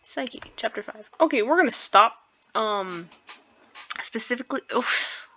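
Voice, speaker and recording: A woman's voice: a little high, lively intonation, measured pace, sharp tone, no room sound. A woman hosting a let's play in a casual, chatty way, commenting on the game and reading from it. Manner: giggly, chatty, informal asides. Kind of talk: casual game commentary with some read-aloud narration. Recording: dull lo-fi mic, music behind. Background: game music.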